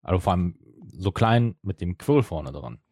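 A man's voice: low-pitched, animated, moderate pace, darkish tone, breathy edge, dry recording, studio quality. A middle-aged man hosting a podcast in casual chat, explaining and qualifying his points. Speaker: podcast host. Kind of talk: casual chat about an audio drama series.

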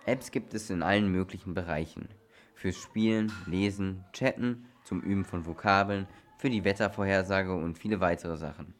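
A man's voice: low voice, moderate pace. A man presenting on a children's radio programme, reading a scripted factual report.

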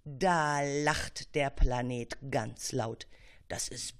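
A woman narrating a children's story in an expressive storytelling manner. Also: a bass voice, very slow.

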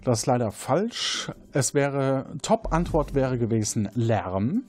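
A man's voice: medium pitch, big pitch swings, takes it slowly, darkish tone, breathy edge, faint music behind. A man moderating an interactive audio quiz game as its host.

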